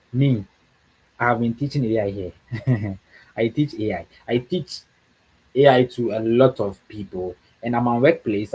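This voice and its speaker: A man's voice: medium pitch, breathy edge, poor audio. A man from Ghana with a Ghanaian accent, speaking as a guest on a livestream talk, earnest and encouraging, often repeating words for emphasis.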